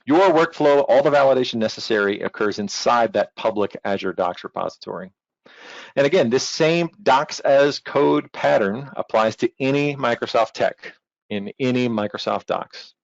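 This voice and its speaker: A man's voice: medium pitch, lively intonation, normal speed, vocal fry, dry recording. Man presenting, dull lo-fi audio. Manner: explanatory, informal asides. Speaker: presenter, technical instructor. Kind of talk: online technical presentation. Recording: dull lo-fi online-call audio.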